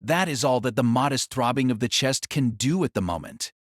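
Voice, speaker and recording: A man narrating a novel as a dramatic audio reading, solemn and theatrical, with measured phrasing. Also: medium pitch, animated, dry recording, studio quality.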